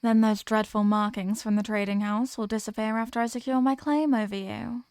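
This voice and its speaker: A woman's voice: a little high, fairly even intonation, brisk, darkish tone, clear, resonant tone, no echo, natural voice. A woman playing a possessive, dominant vampire in an ASMR roleplay, speaking in a teasing, condescending manner with formal phrasing. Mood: teasing, intimate. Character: possessive, dominant female vampire toying with captive prey. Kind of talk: ASMR roleplay, scripted intimate monologue.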